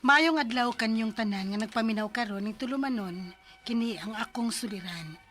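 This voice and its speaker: Actress as caring, higher-voiced Bisaya mother. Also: normal speed, fairly bright tone, soft background music, lightly acted. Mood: earnest, recounting. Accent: Filipino, Bisaya. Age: middle-aged. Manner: tender, motherly. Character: caring worried middle-aged mother of a grown daughter. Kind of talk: Bisaya radio drama acting.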